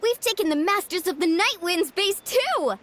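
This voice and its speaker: A woman voicing a small, eager, bubbly childlike companion creature in a video game, with a squeaky voice and exclamatory delivery. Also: animated, normal speed, slight background noise. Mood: excited. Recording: loud game music behind. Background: game music.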